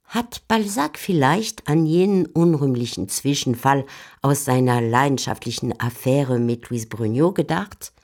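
A woman giving measured, composed scripted narration for a literary radio drama. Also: a bass voice, lively intonation, a little mellow. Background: faint music.

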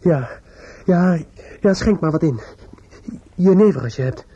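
An actor playing a desperate, distraught young man in a radio play, muttering in a halting, repetitive, obsessive way. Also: fairly high pitch, lively intonation, warm tone, dull audio. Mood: agitated, pleading.

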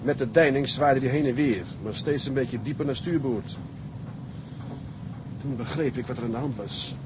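An actor playing an earnest, dutiful young first mate in a radio drama, measured, grave and respectful. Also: male voice, lightly acted. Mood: grave explaining. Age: young adult. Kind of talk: dramatic radio play acting. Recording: engine rumble behind the voice.

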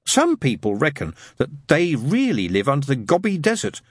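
A man's voice: a little high, big pitch swings, brisk, husky, dry recording, clean studio sound, pitched above the performer's own voice. A man voicing a bossy, imaginative boy from a storybook, lofty, emphatic and insistent. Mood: lofty, confident. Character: bossy, know-it-all boy, imaginative gang leader.

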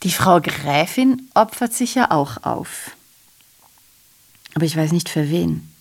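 A woman's voice: sits low, lively intonation, slow pace, creaky, heavily acted. A sardonic, aggrieved woman messenger. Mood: indignant, sardonic. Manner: rhetorical, self-correcting, digressive monologue. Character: sardonic, aggrieved female messenger reporting on killings. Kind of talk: radio drama monologue.

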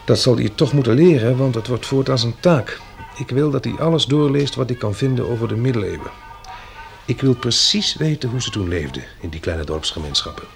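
A gravelly, mid-pitched man in a radio drama, playing a practical survivor who heads a household. He talks in a measured, dry manner with a teasing, wry edge. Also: lively intonation, faint music behind. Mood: earnest, practical. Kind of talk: Dutch radio play acting.

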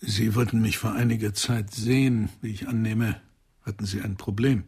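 An actor playing a calm, probing psychoanalysis professor in a radio crime drama, with a rough husky voice and deliberate questions. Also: male voice, lowish voice.

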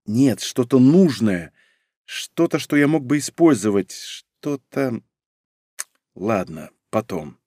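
A man reading a first-person audiobook in a measured, wry way. His voice sits in the middle of the range. The recording is dry and close, with no room echo. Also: mid-range voice, animated, mellow. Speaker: narrator. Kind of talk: audiobook narration.

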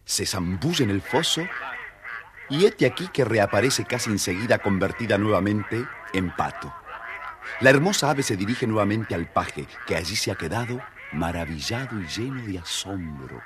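A man's voice: lowish voice, expressive, normal speed, background noise. A man narrating a children's story dramatically, addressing his young listeners directly.

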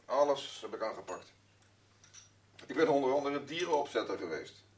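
An actor playing a blunt, rambling working-class husband in a radio drama, boastful, self-pitying and defensive. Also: male voice, mid-range voice, big pitch swings, moderate pace, slight background noise, close to an everyday voice. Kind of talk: naturalistic radio drama dialogue.

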